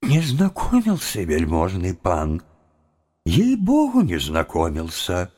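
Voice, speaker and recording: An actor playing a wily, evasive young philosopher student in a theatrical audio drama, with a folksy, deferential, drawling delivery. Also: male voice, low-pitched, huge pitch swings, takes it slowly, darkish tone, full character voice. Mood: evasive, respectful.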